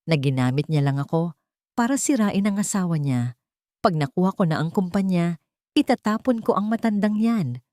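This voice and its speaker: A woman's voice: on the low side, animated, normal speed, dark tone, dry recording, studio quality, natural voice. A woman voicing a scheming, ambitious businessman who speaks coldly and with scorn in a storybook reading. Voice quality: mixes English into lines.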